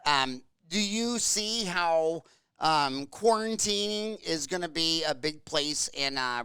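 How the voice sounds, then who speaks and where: A man hosting a conversational podcast interview, putting his questions in a halting way with repeated restarts. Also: mid-range voice, bright, forceful tone, clear, resonant tone, no room sound.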